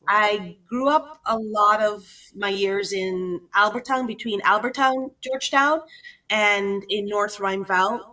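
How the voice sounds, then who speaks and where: A woman's voice: mid-range voice, pushed and bright, rough recording. A woman from Guyana, a children's author, telling her life story in a chatty, conversational way. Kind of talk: conversational storytelling interview answers.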